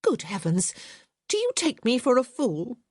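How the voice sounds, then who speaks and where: A woman voicing a proud, sharp-tongued young noblewoman in an audiobook, her speech haughty, clipped and cutting. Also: medium pitch, expressive, moderate pace, husky, no echo, clean studio sound, pitched above the performer's own voice. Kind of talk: audiobook character voice.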